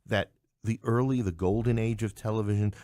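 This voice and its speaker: A man's voice: lowish voice, steady tone, a little fast, mellow, dry recording, studio quality. A middle-aged man from New York City talking as a podcast guest, opinionated and emphatic, repeating phrases for stress. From New York City, United States. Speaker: podcast guest, playwright and English professor. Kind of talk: conversational interview, opinionated.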